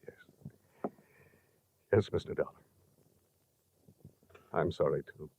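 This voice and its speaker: An actor playing a grave, shaken middle-aged father, halting and subdued, in 1950s radio drama style. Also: extremely low pitch, mellow, slightly rough, heavily acted. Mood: resigned. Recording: muffled, noisy vintage radio recording.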